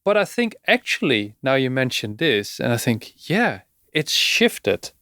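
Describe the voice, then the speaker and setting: A man hosting a podcast, explaining things conversationally in measured phrases with pauses. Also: medium pitch, very animated, pushed and bright, no room sound, studio quality. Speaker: podcast host.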